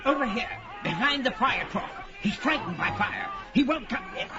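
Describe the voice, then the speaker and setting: An actor playing a cowardly, scheming villain with a theatrical, excitable delivery in an audio adventure drama. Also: male voice, very high voice, normal speed. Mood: smug, urgent. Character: cowardly, scheming male Zingaran villain, smug then panicky. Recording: dull lo-fi, loud music and clamour.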